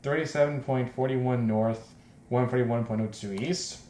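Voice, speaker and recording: A man's voice: mid-range voice, fairly even intonation, moderate pace. Echoey male let's player reading aloud. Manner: exclaims loudly and repeats himself in reaction. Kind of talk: reading a visual novel aloud with joking reactions. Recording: roomy echo, faint background noise.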